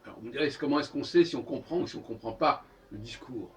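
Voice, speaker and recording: A man gives an improvised, opinionated lecture, halting and correcting himself, repeating phrases for emphasis. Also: medium pitch. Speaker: speaker giving a philosophical talk. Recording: distant microphone, noisy room ambience.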